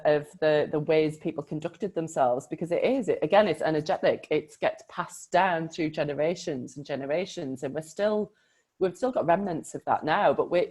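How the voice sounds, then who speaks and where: Lady coach, low voice, chatty and expressive, thinks out loud. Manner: expressive, chatty, verbal processor. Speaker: life coach. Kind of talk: casual chat.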